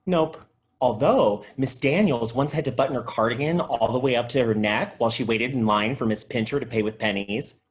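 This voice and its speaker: A man's voice: medium pitch, brisk, natural voice. Goofy, chatty male video store clerk, acted. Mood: matter-of-fact. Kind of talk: table read of a comedy TV pilot.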